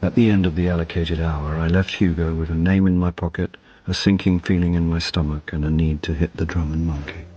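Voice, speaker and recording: An actor playing a wry middle-aged actor turned sleuth in a radio drama, with a dry delivery. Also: male voice, sits low, little pitch movement, fairly quick, hardly any pauses, warm tone, husky, dull audio. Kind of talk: radio drama dialogue and first-person narration.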